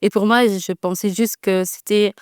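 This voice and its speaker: A woman's voice: mid-range voice, fairly even intonation, moderate pace, clear, resonant tone, no echo, clean studio sound. A woman in her twenties with a Dutch accent, speaking French as a guest in a casual interview, hesitant with many fillers. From Netherlands. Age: 20s. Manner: hesitant, many "euh" fillers, soft laughs. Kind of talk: casual interview about faith.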